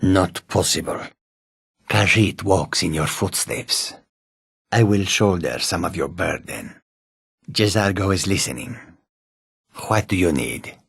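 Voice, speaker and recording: An actor playing a sly, menacing, proud humanoid cat warrior in a fantasy video game, with a husky, raspy voice and deliberate delivery. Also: male voice, deep voice, a bit bright, dry recording, clean studio sound, full character voice. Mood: loyal, dutiful. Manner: slow, deliberate, often speaks of himself in third person.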